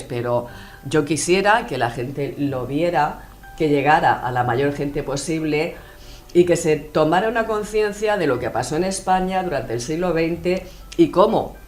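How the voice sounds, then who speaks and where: A woman from Spain with a Madrid accent, a journalist and filmmaker, speaking thoughtfully as a radio interview guest with frequent hesitations. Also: deep voice. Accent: Madrid Spanish. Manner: thoughtful, hesitant with many fillers.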